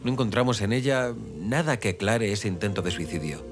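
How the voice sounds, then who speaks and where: A man's voice: fairly low pitch, animated, fairly quick, over music, lightly acted. A man playing an earnest, regretful police officer in a horror audio drama. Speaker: voice actor in audio fiction.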